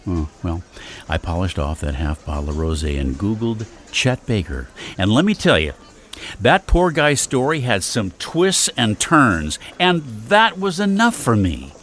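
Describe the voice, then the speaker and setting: An actor playing a worn-out, wry older husband and father in an audio comedy, speaking in halting, exclamatory bursts. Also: on the low side, big pitch swings, a bit bright. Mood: weary, fed up. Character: exasperated, worn-out older husband and father, wryly self-deprecating. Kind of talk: stage-comedy audio drama acting.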